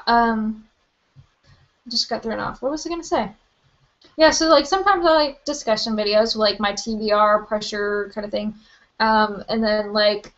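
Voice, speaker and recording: A young woman hosting a live book Q&A from her webcam, chatty and rambling with lots of "like" and "um", her voice a little hoarse. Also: fairly high pitch, dull audio. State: slightly hoarse, losing her voice. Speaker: book vlogger. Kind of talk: live-stream Q&A.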